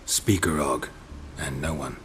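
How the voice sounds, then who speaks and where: An actor playing a stoic, world-weary monster hunter in a video game, with a terse, deadpan delivery. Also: male voice, very deep voice, a little slow, a little hiss or hum. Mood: dry.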